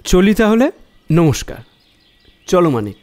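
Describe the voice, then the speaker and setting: A man's voice: sits high, big pitch swings, unhurried, a little mellow. A man acting a shrewd, courteous private detective in an audio drama, probing and decisive in his talk.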